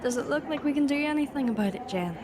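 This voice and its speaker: A woman's voice: sits high, animated, fast talker, crowd noise. A woman playing a protective, cautious working-class factory mother in an audio drama. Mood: weary.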